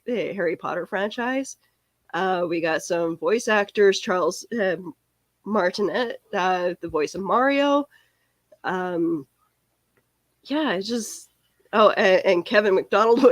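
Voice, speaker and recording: A woman's voice: medium pitch, dry recording. A young woman with a cold and a cracking voice, hosting a live stream in a rambling, chatty way. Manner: rambling, chatty, answers viewer comments. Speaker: live stream podcast host. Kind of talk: casual live stream chat.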